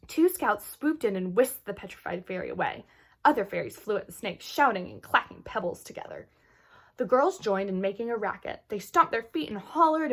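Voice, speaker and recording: A woman reading a children's story aloud expressively, with dramatic emphasis and hushed moments. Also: mid-range voice. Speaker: children's book read-aloud host.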